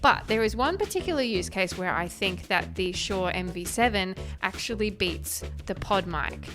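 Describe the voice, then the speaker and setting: A woman with a light, higher voice, talking in a friendly, chatty and explanatory way on a close podcast microphone. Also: animated, normal speed, music in the background. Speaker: host reviewing microphones. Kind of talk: casual tech review talk to camera.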